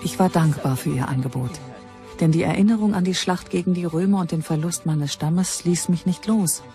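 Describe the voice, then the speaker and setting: A woman's voice: on the low side, moderate pace, dark tone, faint music behind, lightly acted. A woman playing a Germanic war survivor, telling her story in a subdued, reflective first-person docudrama narration. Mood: reflective, grateful. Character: grateful Germanic war survivor recalling her lost tribe.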